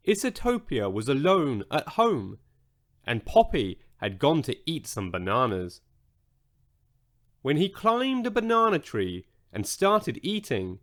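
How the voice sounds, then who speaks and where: A man narrating a children's bedtime story in a measured, expressive storytelling manner. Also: on the high side. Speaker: audiobook narrator.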